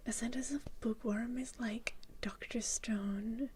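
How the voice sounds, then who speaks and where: A woman's voice: fairly high pitch, fairly even intonation, a little slow, darkish tone, slight background noise. A woman from Finland, a native Finnish speaker, whispering casual, rambling ASMR chat to her listeners as a live streamer.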